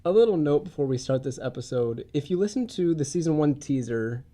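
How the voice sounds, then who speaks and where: A man's voice: a little high, lively intonation, fairly quick, warm tone. A young man hosting a podcast in a casual, informal way, congested from a head cold. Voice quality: congested, stuffy nose. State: getting over a head cold. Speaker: podcast host. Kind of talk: casual podcast introduction and interview. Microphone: podcast studio mic, wearing face mask or shield.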